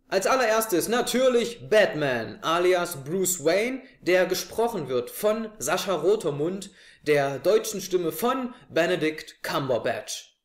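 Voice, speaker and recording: A man in his twenties presenting a review show to camera from a living room. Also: sits high. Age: 20s. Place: small living room, indoors on a couch. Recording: echoey room.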